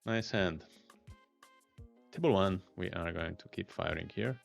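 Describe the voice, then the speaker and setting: A man's voice: low-pitched, a little slow, vocal fry, faint music behind. A man with an Italian accent, talking through his poker hands on a live stream, thinking aloud and muttering hand names. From Italy.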